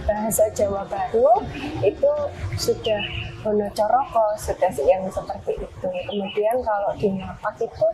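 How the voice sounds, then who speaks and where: A woman explaining language in a casual chat, pausing now and then to find her words. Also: mid-range voice, steady tone, low-quality recording. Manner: thoughtful, pauses to find words. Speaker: language expert guest.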